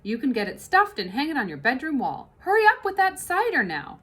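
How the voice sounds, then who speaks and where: A woman's voice: high voice, wildly swooping pitch, a little fast, fairly bright tone, pitched above the performer's own voice, full character voice. A woman voicing an impatient, commanding mistress of a house who gives curt orders, in a storybook reading.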